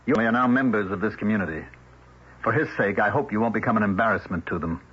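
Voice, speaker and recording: A stern, controlling village elder. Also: male voice, fairly low pitch, breathy edge. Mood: coldly formal. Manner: measured, formal, quietly threatening. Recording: muffled old radio broadcast.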